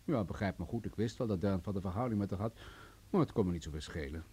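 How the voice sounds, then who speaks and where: A condescending, menacing crime boss. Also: male voice, sits low, animated, fast talker, mellow, husky. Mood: cool, commanding. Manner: condescending, mocking. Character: menacing, wealthy male crime boss, coolly sadistic. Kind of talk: vintage Dutch radio play acting.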